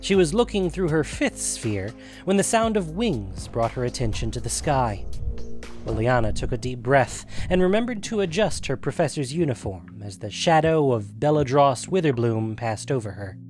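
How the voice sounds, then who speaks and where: A man narrating a scripted fantasy story in a measured, dramatic storytelling manner. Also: medium pitch, sing-song, a little mellow, soft background music.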